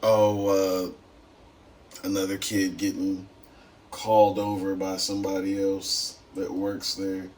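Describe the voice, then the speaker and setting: Slow-talking, low-voiced man reacting casually. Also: completely flat delivery, a little mellow. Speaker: reaction show host. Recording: slightly distant mic, faint noise.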